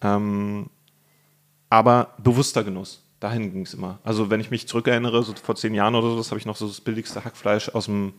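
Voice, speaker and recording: A lower-voiced man from Berlin chats loosely on a video podcast, talkative and quick to laugh. He is in his thirties and sounds at ease, recorded on a studio microphone. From Berlin, Germany. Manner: relaxed, talkative, laughs easily. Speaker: guest, cooking YouTuber. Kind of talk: casual podcast chat over food.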